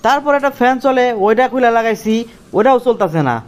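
An actor playing a cheeky, gullible village youth from a comic cartoon. He talks in broad rural Bangladeshi dialect, whining and arguing in a colloquial way. His temper is quick and his pitch sits in a middling range. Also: lively intonation, natural voice. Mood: frustrated. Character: cheeky, hot-tempered village young man, gullible schemer. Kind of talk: comic Bengali cartoon dialogue.

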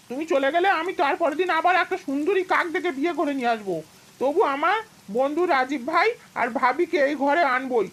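Woman playing kind-hearted male crow, cartoon, earnest, insistent, rapid speech, high voice. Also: a bit bright. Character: kind-hearted crow husband, loyal friend, firm with his wife. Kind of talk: cartoon voice acting.

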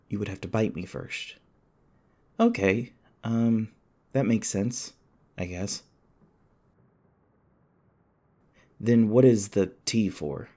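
A man playing a shaken, apologetic young human in an audio roleplay, speaking hesitantly with stammers and halting phrases. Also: lowish voice, darkish tone. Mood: hesitant, curious. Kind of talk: ASMR audio roleplay, naturalistic acting.

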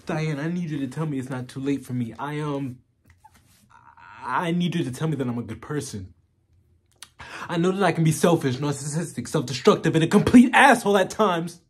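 A male actor performs a washed-up man full of self-loathing. He speaks in a halting, emotionally raw way, pleading to be reassured. It is a dramatic monologue at a medium male pitch. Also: a little mellow, slightly rough, natural voice.

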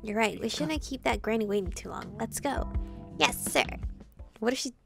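A young woman hosts a gaming video, reading dialogue and commenting playfully. Her voice is fairly high and often drops into fry. Clear game music sits behind her. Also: lively intonation, normal speed. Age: young adult. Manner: chatty, playful.

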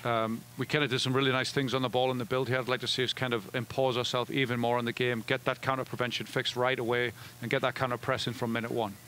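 Middle-aged male coach, post-game, rapid delivery, headset mic, mid-range voice. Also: mid-range voice, little pitch movement, a bit bright, a little hiss or hum. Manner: fast, with frequent restarts and fillers. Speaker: head soccer coach. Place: indoor media room before a backdrop.

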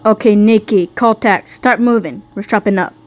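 A woman's voice: medium pitch, moderate pace, a little mellow, faint music behind, lightly acted. A woman voicing an arrogant, taunting male sled dog in a cartoon fandub, with a smug, mocking drawl. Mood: commanding, scornful. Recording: noisy amateur fandub recording.

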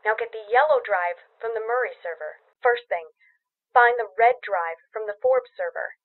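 Casting: commanding game mission handler, slightly high female voice, thin phone-filtered sound. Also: normal speed, phone-quality sound. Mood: instructional, commanding. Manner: directive, instructional. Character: businesslike female spy handler giving orders. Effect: radio or phone filter. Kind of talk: video game voice acting. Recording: thin phone or radio line.

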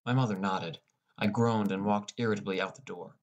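A man's voice: lowish voice, steady tone, a little fast, lo-fi sound. Young man narrating a first-person story. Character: depressed, irritable high school boy telling his story. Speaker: audiobook narrator.

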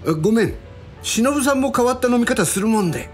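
A hapless young man from a radio drama, acted by a woman. He is lovestruck and casual, easily flustered, with a very animated delivery over faint music. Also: fairly high pitch, fairly quick, close to an everyday voice.

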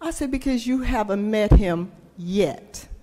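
A woman's voice: medium pitch, expressive, warm tone. An older woman, a state senator telling a folksy story in a floor speech at a podium microphone. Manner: folksy, slow storyteller, acts out quoted voices. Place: large legislative chamber. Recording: long hall reverb in chamber.

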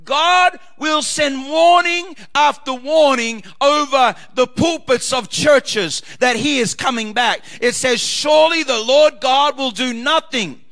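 Loud, passionate preaching pastor, bright and gravelly. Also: male voice. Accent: Australian. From Australia. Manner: loud, swings between shouted climaxes and low quiet asides. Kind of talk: passionate preaching with scripture readings.

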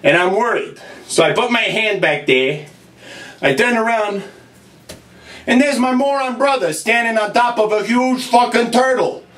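An echoey, distant recording of a high-voiced, gruff-tempered plumber in a comedy monologue. He is a put-upon older brother who rambles crudely to his customer and keeps tagging phrases with "right?". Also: unhurried, a bit bright. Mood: grumbling, reflective. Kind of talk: comic character monologue, chatting to a customer. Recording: roomy echo, distant from mic.

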